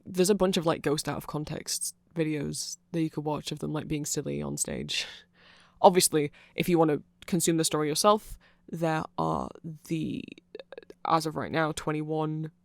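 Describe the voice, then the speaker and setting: A nerdy vampire shopkeeper, acted out for an ASMR roleplay, chatting casually to a friend. The voice has plenty of fry and sits in a very dry recording. The delivery rambles, hesitant yet excitable, with an apologetic streak. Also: medium pitch, no room sound, lightly acted. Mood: earnest, rambling.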